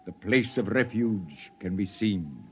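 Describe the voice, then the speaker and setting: Old prophet, very slow, halting, strained and coughing, wide swings, solemn, radio play. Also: male voice, fairly low pitch, expressive, husky, heavily acted. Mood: solemn, prophetic. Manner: preaching, biblical, halting delivery. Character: dying old prophet, solemn wild-haired leader of Martians. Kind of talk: 1950s radio drama acting.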